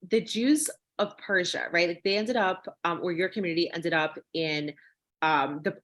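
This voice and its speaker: A woman's voice: fairly low pitch, moderate pace, a bit bright. A woman hosting a casual interview, working her way tentatively and in a roundabout way toward each question. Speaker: interviewer, host.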